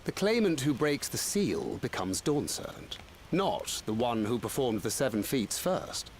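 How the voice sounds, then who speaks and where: A man playing a measured, thoughtful advisor in a video game, speaking formally and articulately. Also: medium pitch, big pitch swings, slight background noise. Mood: concerned. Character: measured, thoughtful male advisor, mildly concerned. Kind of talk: video game cutscene acting. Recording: game music under the voice.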